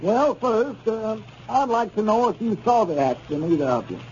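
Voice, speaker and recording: A formal, courteous lawyer in an old radio comedy. His voice is high but soft-edged and mellow, and businesslike, on a muffled 1930s recording with some noise. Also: lively intonation, moderate pace. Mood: probing, formal. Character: polite, businesslike male lawyer on a client call.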